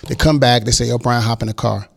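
Gravelly man telling a story. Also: mid-range voice, fast. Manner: animated storyteller acting out quotes. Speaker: guest record producer. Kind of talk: casual storytelling interview.

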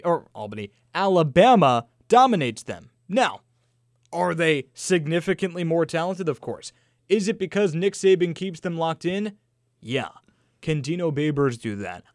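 A man co-hosting a sports radio show with a fairly high voice, loud and joking, full of energy. The studio sound is dry and close. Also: no room sound. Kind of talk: casual sports talk radio.

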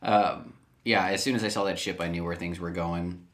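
A very low-voiced man in a relaxed casual chat, co-hosting. He hesitates often and gropes for the right words. His sentences trail off before he lands on his point. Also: normal speed. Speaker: podcast co-host.

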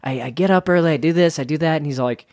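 A man's voice: a little high, fast, darkish tone, dry recording, clean studio sound. Chatty man from Salt Lake City. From Salt Lake City, Utah. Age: 30s. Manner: chatty, storytelling, playful, name-dropping. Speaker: guest, wedding DJ. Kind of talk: casual chat.

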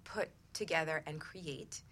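A woman's voice: low-pitched, unhurried, fairly bright tone, slight background noise. A woman hosting a radio show, talking conversationally as she interviews a guest about relationships.

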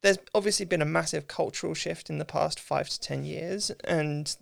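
A woman, hosting a casual interview in an informal, thoughtful way with halting phrasing. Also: sits low, creaky. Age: mid 30s. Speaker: podcast host, interviewer.

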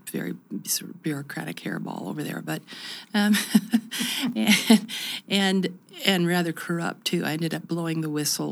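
A woman's voice: lowish voice, vocal fry. A woman in her fifties from the United States, talking reflectively as an interview guest, with many restarts. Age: 50s. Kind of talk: conversational interview.

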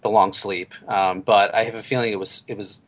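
A man's voice: fairly low pitch, fairly even intonation, brisk. A man hosting a casual fan podcast, chatty and thinking aloud as he talks. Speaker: podcast host. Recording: slightly dull lo-fi audio.

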